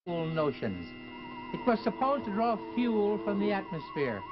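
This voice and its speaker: An actor playing a gloomy, ominous servant in an old radio horror drama, speaking formally and with foreboding. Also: male voice, high-pitched, huge pitch swings, unhurried, full character voice. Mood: dry, gloomy. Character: ominous, gloomy male servant of an isolated house. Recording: dull vintage radio recording with music.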